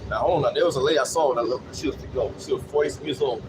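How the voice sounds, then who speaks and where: Man, late thirties, casual monologue, repetitive phrasing, frequent verbal check-ins, average pitch, clear bus rumble behind. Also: racing. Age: late 30s. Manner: repetitive, peppered with "you know what I'm saying". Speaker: vlogger. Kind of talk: casual rambling monologue with anecdotes. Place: on a city bus. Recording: clear vehicle and air noise.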